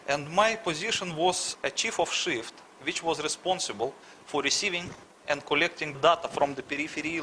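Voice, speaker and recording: A middle-aged man with a Russian accent answers questions in a halting, word-searching way. His voice is bright and forward. A faint background ambience sits under the recording. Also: a little high, slight background noise, rough recording. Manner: halting, searches for words, frequent restarts. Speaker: interviewee. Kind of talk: conversational interview answers.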